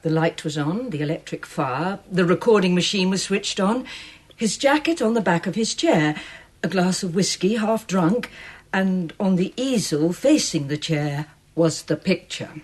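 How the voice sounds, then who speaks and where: A woman's voice: fairly low pitch, animated, unhurried, darkish tone, slightly rough. An actress playing an earnest gallery woman in a radio play, insistent and pleading. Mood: troubled. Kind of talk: radio drama acting.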